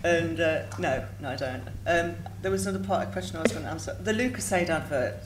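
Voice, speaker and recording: A woman's voice: deep voice, quick pace. A woman answering fan questions as a guest on a panel, rambling and self-deprecating, laughing easily. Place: large event hall with audience. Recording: echoey hall, distant mic, loud room noise.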